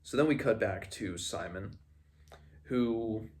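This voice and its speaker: A man hosting a book read-along, casually recapping the story in a halting, conversational way. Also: fairly low pitch, a little mellow.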